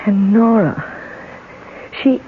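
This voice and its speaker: An actress playing a shaken, grieving young woman in a 1970s radio drama, halting and breathy. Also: medium pitch, natural voice. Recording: tinny, hissy old radio broadcast.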